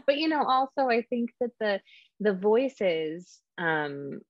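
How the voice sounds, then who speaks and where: A woman's voice: mid-range voice, very animated, takes it slowly, no echo, dull audio. A woman talking casually in an interview about casting audiobook narrators, thinking aloud with fillers.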